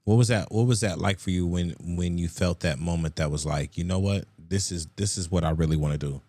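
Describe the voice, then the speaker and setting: A man's voice: sits low, fairly quick, mellow, no room sound. A man hosting a casual radio interview, speaking in slang with encouraging interjections. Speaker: radio session host interviewing.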